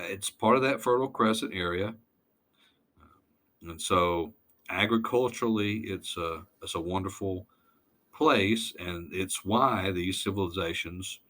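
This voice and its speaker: Very deep-voiced, unhurried male lecturer. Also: pushed and bright. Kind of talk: informal slide lecture.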